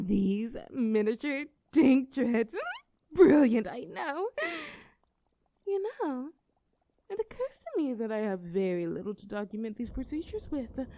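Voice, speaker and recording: Theatrical, wildly swooping mad scientist. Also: female voice, medium pitch, dark tone, no echo. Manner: theatrical, precise, formal diction with drawn-out phrases. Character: gleefully cruel, theatrical female mad scientist planning experiments. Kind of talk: horror audio roleplay addressed to the listener.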